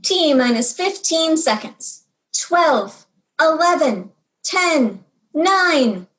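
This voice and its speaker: A woman's voice: high-pitched, lively intonation, drawn-out words, a bit bright, pitched above the performer's own voice, exaggerated voice. A woman voicing an eager, space-struck young girl in a children's storybook, earnest and emphatic. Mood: excited countdown.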